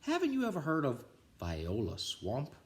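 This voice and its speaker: A man's voice: mid-range voice, wildly swooping pitch, normal speed, voice pushed up. Man as a knowing, ominous big schoolkid. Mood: warning. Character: knowing big schoolkid spreading scary warnings.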